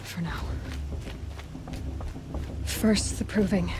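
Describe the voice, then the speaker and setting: A woman's voice: lowish voice, extremely slow, noisy background. A woman playing a determined, guarded young outcast hunter in a video game, wry and direct. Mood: determined, sad. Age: young adult.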